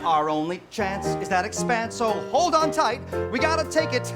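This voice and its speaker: A woman's voice: fairly high pitch, very animated, moderate pace. A woman playing an awkward but brave starship captain, half singing a pep talk in a stage musical parody. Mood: rousing. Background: loud music accompaniment.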